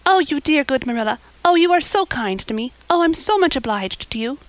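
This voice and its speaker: Woman acting out a gushing, high-pitched excitable young girl. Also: fairly quick, no echo. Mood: grateful. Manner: gushing, chattering, dramatic. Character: breathless, excitable, dreamy young orphan girl. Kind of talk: audiobook character acting.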